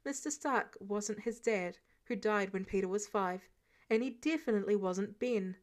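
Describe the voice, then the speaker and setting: A woman's voice: medium pitch, moderate pace. A woman reading scripted fiction aloud as a narrator. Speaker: podfic narrator.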